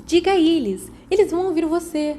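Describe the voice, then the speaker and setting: Woman voicing an anxious, high-pitched, indignant schoolgirl. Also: a little fast, dark tone, slight background noise. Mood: pleading. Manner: pleading, protesting.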